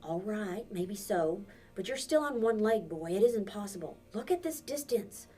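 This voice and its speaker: A woman reading a storybook and voicing a gruff but caring countrywoman, blunt and commanding. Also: medium pitch, expressive, normal speed, dark tone, recorded from a distance, soft background music, natural voice. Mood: reasoning, coaxing. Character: gruff, caring, practical older backwoods woman.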